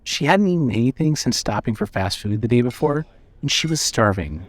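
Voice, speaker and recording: A man's voice: medium pitch, lively intonation, a little fast, a little hiss or hum. A man narrating a scripted story as the narrator of an audio drama.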